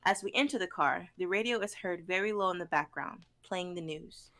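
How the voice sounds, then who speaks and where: A woman's voice: lowish voice, a little fast, a bit bright. A woman in her twenties reading stage directions and introducing a script as a performer on a group stream. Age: 20s. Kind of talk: scripted narration and casual chat.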